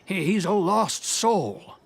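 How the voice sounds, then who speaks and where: An actor playing a concerned, thoughtful village man in a video game, speaking earnestly and deliberately. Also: high-pitched, big pitch swings, gravelly, slight background noise, full character voice. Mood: troubled, earnest. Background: background game music.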